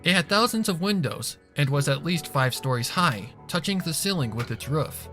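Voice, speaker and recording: A man's voice: a little high, lively intonation, moderate pace, bright, forceful tone. A man reading a fan fiction story aloud as scripted narration. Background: music.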